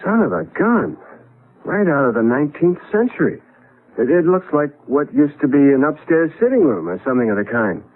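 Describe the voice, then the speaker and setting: Animated good-humored husband in vintage radio drama. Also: male voice, on the high side, brisk, narrow, tinny audio. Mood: amused. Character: good-humored husband newly moved into an old mansion. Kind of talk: 1970s radio drama acting. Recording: band-limited vintage radio recording.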